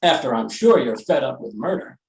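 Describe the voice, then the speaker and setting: A man playing a wry, groggy newspaperman in old-time radio style, with a creaky voice and dry, teasing understatement. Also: medium pitch, animated, fairly quick, reverberant, low-quality recording.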